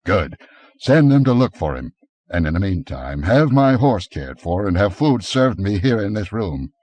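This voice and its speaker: Man voicing a barbarian adventurer, gruff, commanding, blunt, low voice, dry close sound. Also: big pitch swings, moderate pace, a little mellow, no echo, highs cut off. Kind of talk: audiobook character reading.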